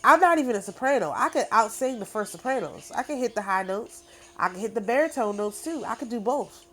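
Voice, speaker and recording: A woman's voice: on the high side, animated, fairly quick, a bit bright. A middle-aged woman talking casually to camera in an emphatic, repetitive, boastful way. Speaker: spiritual channel host. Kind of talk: casual spoken monologue.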